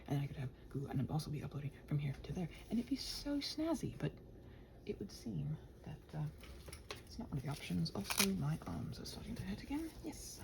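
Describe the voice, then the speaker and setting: A woman's voice: mid-range voice, creaky, background noise. A woman rambling casually to her listeners, muttering to herself and slipping into whispers, with playful, sarcastic asides. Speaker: ASMR and audio channel creator. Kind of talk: casual rambling body-doubling chat to listeners.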